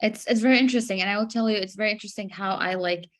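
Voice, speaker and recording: A woman's voice: mid-range voice, racing, fairly bright tone. A woman from Syria asking a question in a medical seminar, searching for her words and restarting often.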